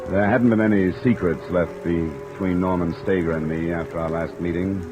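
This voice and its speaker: An actor playing a tough, weary American insurance investigator in terse 1950s detective radio drama style. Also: male voice, low-pitched, fairly even intonation, normal speed, dull audio, music in the background, natural voice. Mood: grim narration. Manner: terse, hard-boiled delivery. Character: tough, weary male insurance investigator narrating his case. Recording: old radio recording under rain noise.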